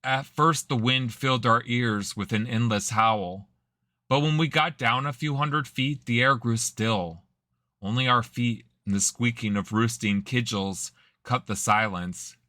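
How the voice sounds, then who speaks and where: A hesitant young man telling a painful memory by a fire in an audio drama, subdued and halting, as a man puts him on. The voice sits low but rings bright and forceful. It is recorded close and dry. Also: no echo.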